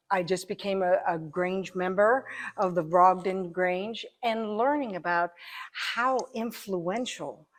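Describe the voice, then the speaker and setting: Slow, thoughtful woman answering interview questions. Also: mid-range voice. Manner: thoughtful, halting, pieces phrases together. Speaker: interview guest, amateur stage actor.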